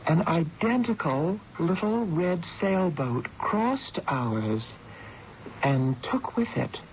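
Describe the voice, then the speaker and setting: An actress playing a devoted wife, earnest and full of wonder, in a radio drama. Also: female voice, lowish voice, lively intonation, slow pace, slight background noise. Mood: wonderstruck, earnest. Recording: dull radio broadcast with music.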